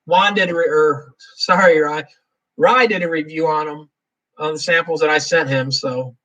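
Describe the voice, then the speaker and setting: A man chats loosely through a live Q&A stream and reads viewer comments out loud between his answers. His voice sits a bit high for a man. He sounds set back from the microphone. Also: fairly even intonation, normal speed, recorded from a distance, muffled recording. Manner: rambling, reads viewer comments aloud, chuckles often. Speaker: livestream host. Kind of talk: casual live Q&A chat.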